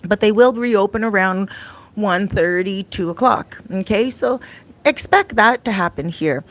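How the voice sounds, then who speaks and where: A middle-aged woman vlogging casually about her life abroad, chatty and rambling, her voice a little raspy and often clearing her throat. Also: medium pitch, measured pace. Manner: chatty, rambling, with many fillers and restarts. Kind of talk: casual talk to camera. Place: her home in a Dominican neighborhood.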